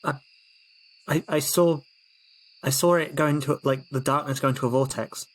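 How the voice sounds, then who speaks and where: A man's voice: on the high side, fairly even intonation, normal speed, rough recording. A man taking part in a tabletop roleplaying game, talking casually in and out of character.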